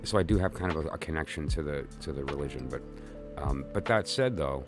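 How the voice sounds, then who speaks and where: A man's voice: extremely low pitch, lively intonation, brisk, music in the background. A man from Brooklyn hosting a tarot channel livestream, talking casually and rambling with fillers and restarts.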